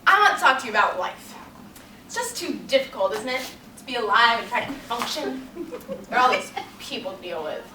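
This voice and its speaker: A young woman plays a frazzled, neurotic woman in stage comedy. Her high, bright voice rambles along in a hurried, exasperated, self-absorbed way. The room echoes and the mic sits some way off. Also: big pitch swings. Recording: roomy, distant mic, faint noise.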